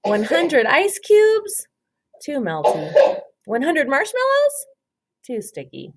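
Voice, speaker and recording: A woman's voice: high-pitched, huge pitch swings, slow, background noise, voice pushed up, full character voice. A woman voicing an anxious young schoolgirl from a storybook, fretting in groaning questions. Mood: doubtful fretting. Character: anxious first-grade girl fretting over everything.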